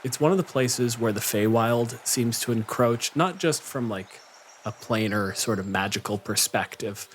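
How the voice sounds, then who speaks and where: A man with a slightly low voice talks like a game master at the table. His delivery is informal and improvised, with faint noise and ambience behind it. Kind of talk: casual chat and improvised tabletop scene narration.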